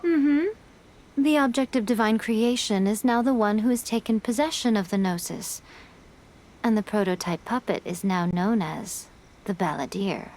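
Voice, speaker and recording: A woman's voice: mid-range voice, moderate pace, darkish tone, a little hiss or hum, natural voice. A woman voicing a sly, playful fox-spirit woman in a game, with a poised, teasing way of speaking. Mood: calm. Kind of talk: game dialogue.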